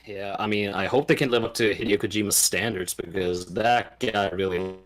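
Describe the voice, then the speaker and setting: A man's voice: fairly low pitch, steady tone, moderate pace. A man talking as a podcast guest in casual conversation, explaining things in a matter-of-fact way. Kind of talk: casual chat, explaining film news.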